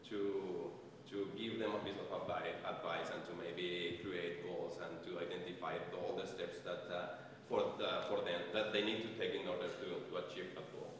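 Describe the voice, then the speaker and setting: A man, a settlement worker, presenting to an audience, halting often with fillers and restarts. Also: sits low, noisy background. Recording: echoey hall, far from mic.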